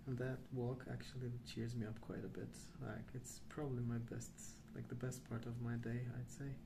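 Soft spoken guy doing asmr rambling, gravelly fry, pretty flat pitch, musing along. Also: noisy background. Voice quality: soft, hushed, at times near whisper. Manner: unhurried, hesitant, musing. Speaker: host of a colouring ASMR channel. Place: indoors at a wooden table.

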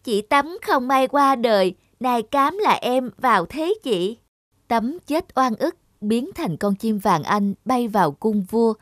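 A woman's voice: a little high, expressive, slow pace, no echo. A woman narrating a fairy tale from a script as storyteller. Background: music.

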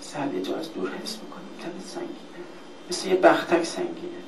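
A man's voice: a little high, steady tone, gravelly. A man reading a play on stage, playing a dying, fearful man who pleads in anguish. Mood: fearful, pleading. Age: middle-aged. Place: indoor hall or classroom, staged reading. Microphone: handheld dynamic mic on stand. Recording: noisy, echoey live hall recording, distant.

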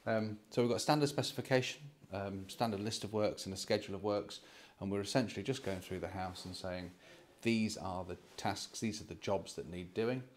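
British man with a lowish voice. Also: fairly quick, hardly any pauses. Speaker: property investor and vlog host. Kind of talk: casual explainer to camera, property walkthrough. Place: indoors, empty house being renovated. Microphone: handheld phone at arm's length.

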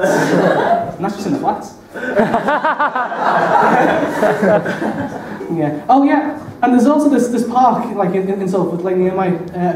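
Young male stand-up with a stutter, self-deprecating and nervous; handheld mic in a small club, roomy and noisy. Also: high-pitched. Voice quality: stutters, repeating words. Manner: nervous, self-mocking, laughing at own jokes. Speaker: stand-up comedian. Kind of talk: stand-up comedy set. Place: small dark club stage. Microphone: handheld mic, filmed from far in the room. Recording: echoey club room taped from far off, loud room noise.

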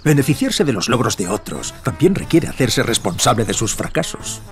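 A man playing a bitter, gruff, sarcastic pilot in an audio drama. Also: medium pitch, very animated, moderate pace, soft background music. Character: bitter, irritable hard-drinking male pilot.

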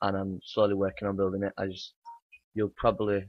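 A man with a croaky morning voice, rambling through casual gameplay commentary with many ums and uhs. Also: sits low, monotone, brisk, no room sound. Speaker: gaming channel host. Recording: dry, dull lo-fi home mic.

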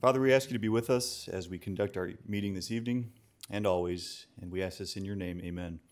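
A man's voice: sits low, quick pace. A man formally chairing a public meeting, speaking procedurally into a microphone in a council chamber. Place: mid-sized council chamber indoors.

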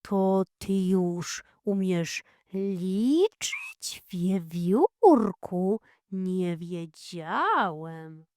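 Woman as a slow, modest badger neighbour. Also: lowish voice, expressive, darkish tone, no room sound, studio quality. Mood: surprised. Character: modest, tidy, good-natured male badger neighbour. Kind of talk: children's audio fairy tale character voice.

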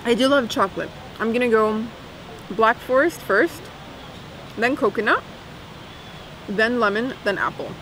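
A woman's voice: a little high, expressive, unhurried, long pauses, a bit bright. A young woman vlogging to camera on an outdoor cafe terrace, chatting and tasting food. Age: young adult. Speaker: travel vlogger. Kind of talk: casual chat to camera. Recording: noisy outdoor recording.

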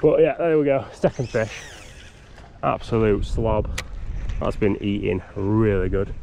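A young man talks to his camera in a chatty, upbeat way. His voice has a gravelly edge, and a faint hiss of wind and outdoors sits behind him on the action-cam recording. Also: fairly low pitch, animated, unhurried, warm tone. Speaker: fishing vlogger. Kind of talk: casual outdoor commentary. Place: windy riverbank outdoors. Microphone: action camera on body or tripod.

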